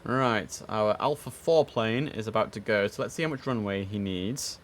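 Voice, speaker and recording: Low voiced guy streaming as an atc controller, easygoing, muttering along, bit of noise behind him. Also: normal speed. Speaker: streamer playing air traffic controller. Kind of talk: casual live-stream commentary mixed with controller radio phraseology.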